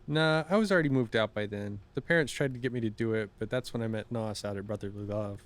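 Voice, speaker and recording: A man's voice: on the low side, quick pace, dry recording, close to an everyday voice. A man acting in an audio drama as an anxious, defensive cyberpunk fugitive, casual and hesitant in his talk.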